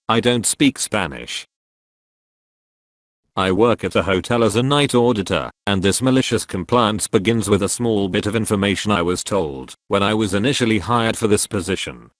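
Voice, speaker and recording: Man, low voice, scripted story narration, dry close mic. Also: animated, moderate pace, no room sound.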